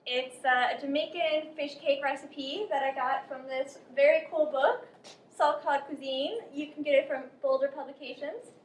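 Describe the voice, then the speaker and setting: A young woman as a guest cook, explaining a recipe step by step in a relaxed way with plenty of fillers. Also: sits high, moderate pace. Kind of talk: casual cooking instruction. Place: outdoor cooking spot at a river park. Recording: echoey, faint noise.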